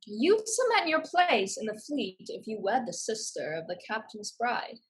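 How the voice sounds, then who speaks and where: Woman playing a tough protective big sister, bit high and raspy, blunt, stage play reading. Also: huge pitch swings, normal speed, exaggerated voice, rough recording. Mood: pointed, probing. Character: fierce, protective elder sister, shrewd pirate woman.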